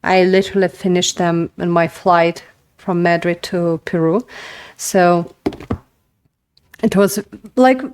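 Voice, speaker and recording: A woman's voice: on the low side, fairly even intonation, slow, long pauses, darkish tone, smooth. A young woman whose first language is Russian, hosting a casual talk-to-camera book review, speaking in a chatty way full of "like" and "you know". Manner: chatty, filler-heavy, frequent "like". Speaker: writing video host.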